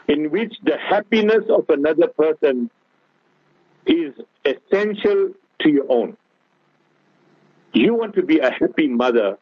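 A man's voice: mid-range voice, husky, dry recording. A man with a South African accent, a guest scholar giving an earnest religious talk on radio and often addressing the host. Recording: tinny phone-like radio broadcast.

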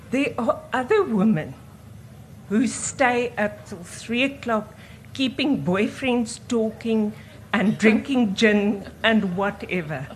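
Unhurried woman, lively voice. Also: fairly high pitch, a little hiss or hum. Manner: earnest, emphatic, chatty. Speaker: audience member. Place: audience at book event.

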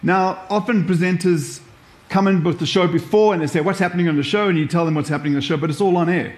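A man's voice: high-pitched, fast talker. A man with a South African accent giving an informal live presentation, talking through examples as a workshop presenter. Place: echoey room, live event. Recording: echoey room sound.

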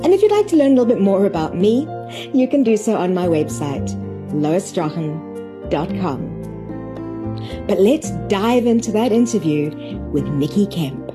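A woman's voice: medium pitch, expressive, moderate pace, over music. A woman with a South African accent, speaking as a podcast host and interviewer. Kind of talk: scripted podcast introduction and interview.

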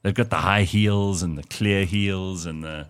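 A man with a South African accent hosting a radio talk show, telling stories in a lively, animated way and putting on the voices of the people he quotes. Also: deep voice, unhurried, smooth. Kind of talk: casual talk radio chat.

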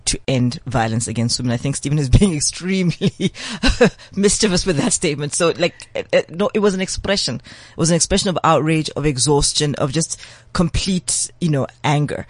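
Emphatic, higher-voiced guest. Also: male voice, no echo. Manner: forceful, emphatic, with quick bursts and laughs. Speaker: guest commentator. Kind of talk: impassioned opinion in a talk show.

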